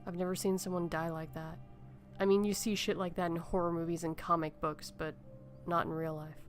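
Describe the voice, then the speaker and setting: Woman acting low-voiced somber, shaken survivor. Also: flat delivery, brisk, faint music behind, lightly acted. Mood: shaken, subdued. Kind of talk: amateur horror audio drama acting.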